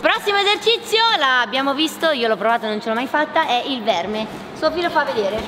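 A woman's voice: high voice, lively intonation, brisk, background noise. A woman vlogger hosting a gym challenge, casually teasing and cheering her friends on in a large indoor gymnasium. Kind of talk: casual joking chat. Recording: long reverb of a big gym hall, music.

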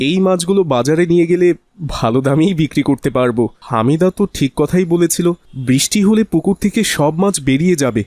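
A man's voice: a little high, expressive, quick pace, a little mellow, no room sound, studio quality, natural voice. A man voicing a devout, friendly and trusting village fish farmer in a cartoon, speaking earnestly. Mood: pleased, resolved. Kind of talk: cartoon voice acting.

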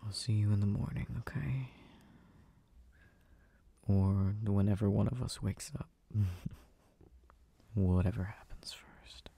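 A man performing gentle, intimate boyfriend ASMR in a soft, soothing, hushed way, close to the microphone. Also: on the low side, slow pace, gravelly, long pauses, slight background noise. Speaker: comfort audio performer playing a boyfriend. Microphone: close desk microphone.

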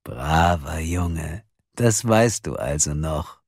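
A man voicing a cold, mocking anonymous male caller who talks slowly and with scorn. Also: sits low, very animated, gravelly, husky, dry recording, clean studio sound, full character voice. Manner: slow, sneering, drawn-out delivery.

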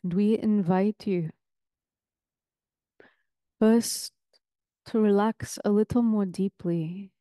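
A woman leading a guided meditation in a soothing, deliberate, ceremonial way, with long pauses between phrases. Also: medium pitch, fairly even intonation, mellow, smooth, dry recording.

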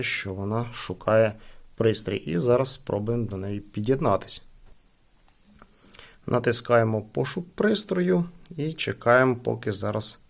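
A man giving a casual, hands-on product review, speaking haltingly as he thinks aloud. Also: medium pitch, gravelly. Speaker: gadget unboxing reviewer.

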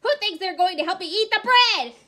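Woman as an indignant, loud little red hen. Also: extremely high pitch, lively intonation, fairly quick, pitched above the performer's own voice, full character voice. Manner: loud, emphatic listing of complaints.

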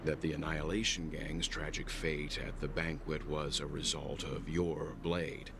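An actor playing a stern, guarded man in a game, speaking in a measured, formal, commanding way. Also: very deep voice, flat delivery, gravelly, background noise, heavily acted. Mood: cold, threatening. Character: stern, guarded bespectacled man, protective and threatening. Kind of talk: scripted game dialogue. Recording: game dialogue over background music.

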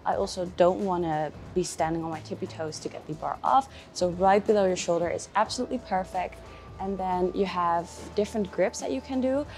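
A woman's voice: on the low side, brisk, a little mellow. A woman in her twenties, chatting instructively in a casual fitness vlog. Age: 20s. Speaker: fitness vlogger and trainer. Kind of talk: casual vlog explaining exercises. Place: indoor gym, later a home kitchen. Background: music.

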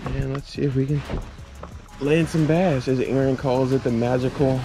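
A man's voice: medium pitch, flat delivery, normal speed, gravelly, music in the background. A man casually narrating and chatting as a fishing vlogger, recorded outdoors. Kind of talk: casual vlog narration and chat. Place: outdoors on a kayak on a lake.

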